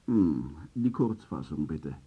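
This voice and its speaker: A man's voice: a bass voice, sing-song, slow, husky, narrow, tinny audio, exaggerated voice. An actor playing a hard-nosed, middle-aged club chairman in a radio drama, talking bluntly and with command. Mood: cool, demanding.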